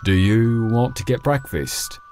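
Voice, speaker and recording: A man's voice: medium pitch, measured pace, darkish tone, faint music behind, lightly acted. A man playing an earnest, polite young male paladin trainee in a visual novel, with formal phrasing. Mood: friendly. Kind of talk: scripted visual novel acting.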